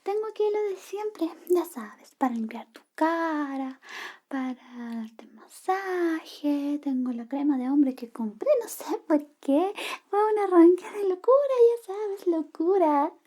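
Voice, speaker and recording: A young woman playing a coy, jealous best friend in a soft, whispery ASMR personal attention roleplay. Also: animated, heavily acted. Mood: caring, soothing. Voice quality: soft, whispery, high-pitched. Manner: slow, coy, murmuring. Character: jealous female best friend secretly in love, teasing, caring.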